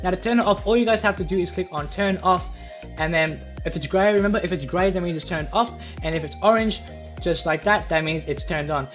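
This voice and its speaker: A young man giving a casual, informal software tutorial, repeating himself as he explains. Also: high-pitched, fairly quick. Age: young adult. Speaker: tutorial video host. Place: small home room. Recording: faint music behind voice.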